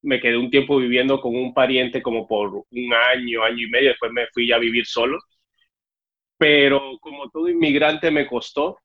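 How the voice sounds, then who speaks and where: A man's voice: medium pitch, flat delivery, normal speed. A young Cuban-born man with a Mexican Spanish accent, telling his story as an interview guest and acting out the dialogue. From Cuba. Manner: storytelling, acts out dialogue with raised voice. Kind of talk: casual interview chat. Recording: dull muffled audio.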